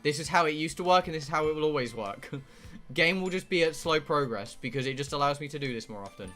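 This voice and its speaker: A British man with a slightly high, bright and forceful voice chats casually to his stream viewers. He is blunt and jokey and swears freely, with music playing behind him. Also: expressive, quick pace. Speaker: live streamer playing a visual novel. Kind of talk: casual rambling chat with viewers.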